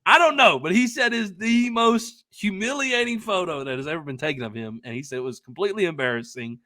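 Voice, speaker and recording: A young man co-hosting a podcast, telling a casual anecdote in a rambling, emphatic, excitable way. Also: high-pitched, fairly quick, no room sound. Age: young adult. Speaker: podcast co-host telling a story.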